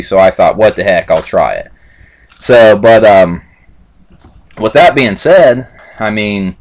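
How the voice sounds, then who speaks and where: A man in his late twenties talking casually to camera in a rambling, repetitive way, with many fillers and "like I said". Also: lowish voice. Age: 20s. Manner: loose and repetitive, lots of fillers and "like I said". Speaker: vlogger reviewing supplements.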